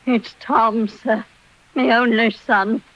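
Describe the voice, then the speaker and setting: A woman's voice: a little high, fairly even intonation, slow, no room sound. An actress playing a poor, humble old village seamstress worried for her son, in 1940s radio style. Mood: anxious, pleading. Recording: dull 1940s radio recording.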